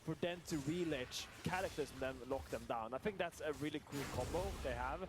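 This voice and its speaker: A man giving measured, analytical esports commentary as a former pro turned analyst. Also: on the high side.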